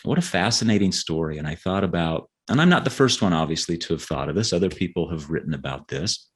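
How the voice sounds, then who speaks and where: Male, middle-aged, low register. Interviewed author recounting his path, conversational, pausing and doubling back on words. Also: expressive, slightly rough. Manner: halting, repeats words as he thinks. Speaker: guest novelist. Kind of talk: conversational interview answers, storytelling.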